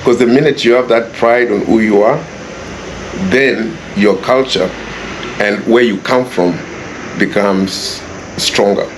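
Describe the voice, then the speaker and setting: A man's voice: fairly low pitch, steady tone, takes it slowly, long pauses. Echoey Kenyan man giving earnest interview answers. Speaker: interview guest, property developer. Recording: echoey, distant mic, faint background noise.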